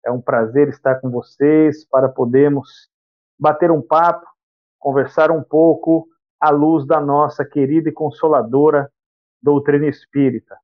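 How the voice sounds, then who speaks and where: A man's voice: a little high, fairly even intonation, a little slow. A man from the of São state, giving a spiritist lecture and explaining points by repeating and rephrasing them. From interior of São Paulo state, Brazil. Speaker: guest lecturer. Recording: dull lo-fi audio.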